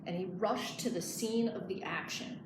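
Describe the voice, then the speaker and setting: A woman librarian reading a picture book aloud in an expressive storytelling style. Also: medium pitch, moderate pace. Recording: echoey room, clear background noise.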